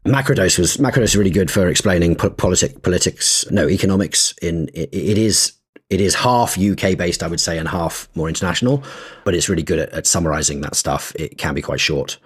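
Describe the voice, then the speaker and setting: A man co-hosting a podcast in casual chat, animated and stumbling over his words as he gets going. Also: deep voice, a little fast, breathy edge. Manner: animated, restarts often, stresses words. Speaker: podcast co-host.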